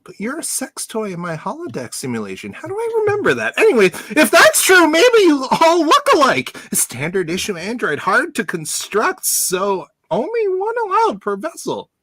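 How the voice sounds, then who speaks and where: A performer playing an eager, flirtatious starship engineer in a fan radio play, casual, teasing and excitable. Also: high voice, normal speed, hardly any pauses, no room sound, full character voice.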